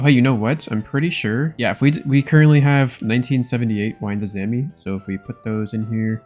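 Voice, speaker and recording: A man with a fairly low voice narrates his own video game session. He talks casually and loosely, in slang and gamer jargon, like someone sharing progress with regular viewers. Guitar music plays behind him. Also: darkish tone. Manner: laid-back slangy speech full of gamer jargon. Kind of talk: casual gameplay commentary.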